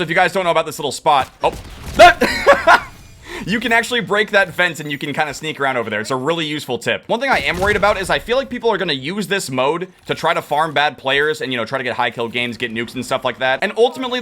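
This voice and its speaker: Young male gaming creator, lively and rapid, bright edgy tone, worn voice, faint noise behind. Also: fairly high pitch. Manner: excitable, quick to rage and laugh. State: losing his voice. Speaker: gaming youtuber. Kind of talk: live gameplay commentary.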